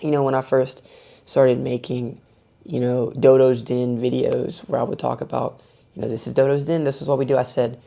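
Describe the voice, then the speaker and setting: A man's voice: medium pitch, little pitch movement, normal speed, gravelly, warm tone. A young man vlogging with a soothing voice, talking through his thoughts in a thoughtful, self-searching way. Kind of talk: reflective personal monologue.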